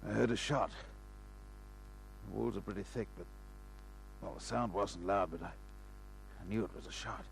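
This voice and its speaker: A man's voice: fairly low pitch, vocal fry, a little hiss or hum, low-quality recording. An actor playing a wounded, desperate man on the run, stammering weakly in an old radio crime drama. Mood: weary, halting. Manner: halting, stammering, pleading. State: weak, in pain.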